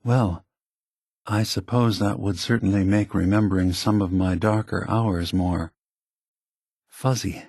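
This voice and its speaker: Old synth leader for a video game, lowish voice, slow careful and grave, kinda regretful, very dry sound. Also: male voice, steady tone, darkish tone, no room sound. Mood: measured, concerned. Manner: measured, grave, deliberate. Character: calm, remorseful old synthetic android leader, male.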